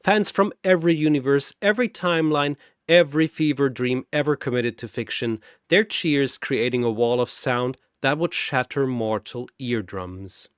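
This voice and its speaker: A man giving scripted story narration in a dramatic manner with wry, deadpan asides. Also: mid-range voice, normal speed, hardly any pauses, clean tone, no room sound, studio quality.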